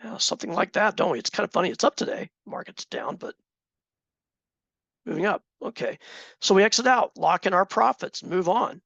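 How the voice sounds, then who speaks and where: A man teaching a live trading class in a conversational way, asking the class questions, with a slightly hoarse voice. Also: high voice, moderate pace, fairly bright tone, no echo. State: slightly hoarse, clearing throat. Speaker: trading instructor.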